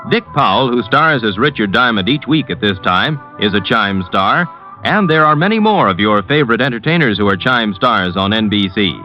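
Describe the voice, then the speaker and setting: A man's voice: fairly low pitch, animated, normal speed, soft background music. An American man reading a scripted promo as an old-time radio network announcer. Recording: dull vintage radio recording.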